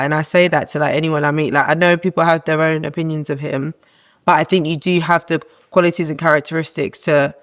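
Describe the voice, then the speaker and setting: A young woman with a low voice she calls masculine, a guest giving earnest, encouraging advice in a casual podcast chat.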